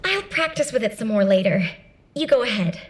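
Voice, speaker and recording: A woman's voice: a little high, lively intonation, a little fast, background noise, close to an everyday voice. A woman voicing a chatty, easygoing young female soldier giving a casual briefing in a video game.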